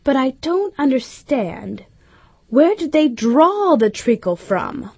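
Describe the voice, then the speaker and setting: Woman doing a prim curious little girl for a kids story, high swoopy voice, bit breathy. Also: big pitch swings, moderate pace, a little mellow, heavily acted. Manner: earnest, questioning, prim. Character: polite, inquisitive, easily piqued young girl. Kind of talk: acted storybook character voice.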